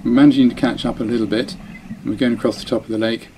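A man's voice: mid-range voice, fairly even intonation, fast, warm tone, a little hiss or hum. A man narrating his own dinghy race, chatty and self-deprecating, often breaking off mid-phrase. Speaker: dinghy racing sailor. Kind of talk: voice-over commentary on race footage.

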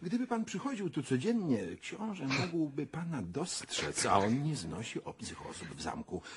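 An actor playing a controlling, scheming secretary to a prince in a radio drama, with a firm, persuasive manner. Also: male voice, fairly low pitch.

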